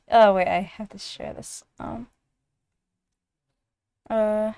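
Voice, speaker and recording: A woman's voice: mid-range voice, steady tone, drawn-out words, gravelly, darkish tone, clear, resonant tone, dry recording. A woman chatting casually as she streams live.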